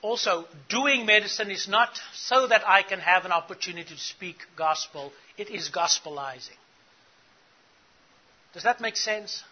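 A man's voice: high voice, measured pace, slightly rough, muffled recording. A middle-aged man from Africa, giving an earnest teaching talk to church leaders and putting questions to the audience. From South Africa. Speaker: conference speaker.

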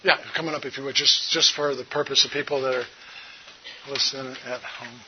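A man's voice: medium pitch, steady tone, a little fast. A man giving an earnest, exhorting faith talk to a conference of healthcare workers, from a podium mic. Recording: muffled lo-fi talk recording with hiss.

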